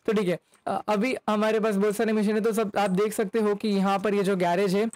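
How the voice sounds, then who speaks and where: A man's voice: sits high, little pitch movement, brisk, a little mellow, no echo. A young man giving chatty, casual gameplay commentary, mixing in English words, close on a desk mic. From India. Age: young adult. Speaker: gaming YouTuber. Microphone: desk microphone close to mouth.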